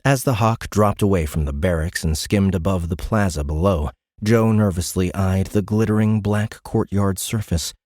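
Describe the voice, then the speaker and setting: A man's voice: low-pitched, moderate pace, hardly any pauses, darkish tone, no echo, studio quality. A man reading scripted science fiction narration for an audiobook in a dramatic storytelling style.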